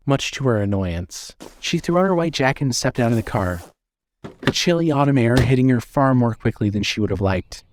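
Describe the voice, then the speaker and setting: A man narrating a scripted story as the narrator of an audio drama. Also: medium pitch.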